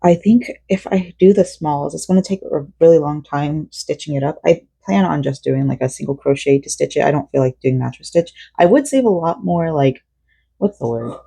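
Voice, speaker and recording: A woman's voice: low-pitched, normal speed, creaky, mellow, husky, no echo. A tired young woman, born in South Korea and raised in Florida, chatting in a rambling way with many fillers while she crochets at home. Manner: rambling and chatty, with frequent fillers and self-corrections. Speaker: vlogger. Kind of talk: casual personal vlog chat while crocheting.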